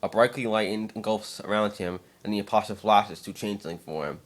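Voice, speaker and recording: Low-voiced man narrating a story. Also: fairly even intonation, moderate pace, heavy background noise. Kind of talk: dramatic reading of fan fiction, scripted narration.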